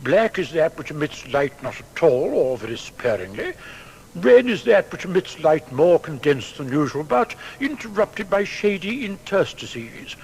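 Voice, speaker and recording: An actor playing a hesitant, pedantic seventeenth-century scholar in a dramatised audio biography. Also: male voice, a little high, very animated, unhurried, gravelly, slightly rough, full character voice, poor audio. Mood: pedantic, declaiming. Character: Isaac Barrow, hesitant male 17th-century scholar and mentor.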